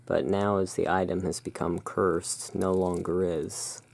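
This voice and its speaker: A very low-pitched, creaky voice in a soft-spoken ASMR roleplay. The speaker plays a courteous gothic emporium owner, presenting wares in a hushed, deliberate manner. The delivery is very slow and unhurried. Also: little pitch movement.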